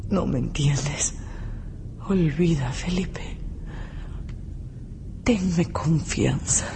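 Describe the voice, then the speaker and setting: A secretive, subdued young woman in a radio play. She speaks in a low pitch with long, halting slowness, often slipping into whispers. Loud ambient noise sits behind her. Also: creaky, full character voice. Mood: secretive, intimate. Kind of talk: radio drama acting.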